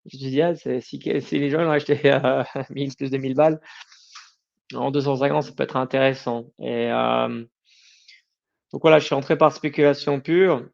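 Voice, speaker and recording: A man's voice: medium pitch, steady tone, creaky, darkish tone, lo-fi sound. A middle-aged man answering interview questions informally, often breaking off and rephrasing. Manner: informal, halting, self-correcting. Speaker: podcast guest, company founder.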